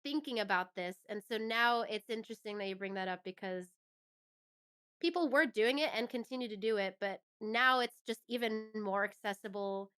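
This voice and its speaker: A woman's voice: on the high side, normal speed, pushed and bright, clean tone. A woman speaking as an interview guest in a casual, agreeable, chatty way. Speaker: interview guest, actress and musician. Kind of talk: casual chat.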